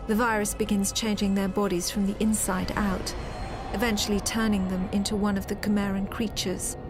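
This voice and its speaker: A woman playing a serious military officer who recounts a war in measured, matter-of-fact game narration. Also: mid-range voice, flat delivery, over music, lightly acted. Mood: grave, detached. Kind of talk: scripted cinematic game narration.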